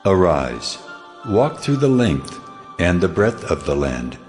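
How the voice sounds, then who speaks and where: A man's voice: low-pitched, big pitch swings, slow, a little mellow, faint music behind, heavily acted. A man playing God as a solemn, commanding deity proclaiming in a dramatized scripture reading. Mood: solemn, promising.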